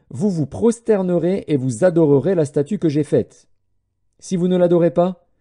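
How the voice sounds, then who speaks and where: A man's voice: fairly high pitch, warm tone. Man reading as imperious ancient king, declamatory. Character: proud, threatening ancient king of Babylon. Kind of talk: audiobook scripture reading.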